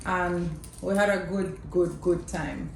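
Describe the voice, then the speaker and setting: A young Jamaican woman casually telling a family story to camera, chatty and lively, laughing often between lines. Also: lowish voice, slow pace, creaky. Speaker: mother telling her son's birth story. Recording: echoey room with faint background noise.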